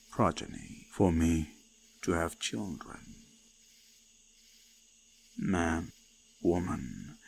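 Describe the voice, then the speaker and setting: An ancient eldritch god of the forest, menacing and curious. The deep voice crawls along extremely slowly, crackling with gravel and sinking into whispers. It is a close-up folk horror roleplay with faint ambient noise around it. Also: male voice, heavily acted. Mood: predatory, musing. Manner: slow, deliberate, archaic phrasing, often sinking to whispers. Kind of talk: scripted immersive roleplay monologue, folk horror. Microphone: close binaural microphone.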